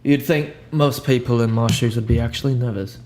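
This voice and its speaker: A young man improvising a soft-spoken ASMR roleplay as a cool, ominous recruiter, talking in a casual, blunt, unhurried way over hiss and hum. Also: medium pitch, steady tone. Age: young adult. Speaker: ASMR roleplayer playing a job interviewer. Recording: audible background hiss and hum.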